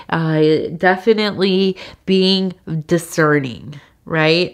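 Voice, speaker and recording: A woman with a congested cold giving a soft-spoken, meandering tea leaf reading, with frequent fillers. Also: on the low side. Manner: slow, meandering, with many fillers and soft laughs. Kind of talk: soft-spoken ASMR tarot-style reading.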